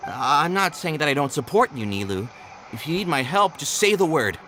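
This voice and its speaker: A man's voice: a little high, big pitch swings, normal speed, a bit bright. A man voicing a practical, doubtful but supportive theater troupe member in a video game. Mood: measured, supportive. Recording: faintly noisy game capture.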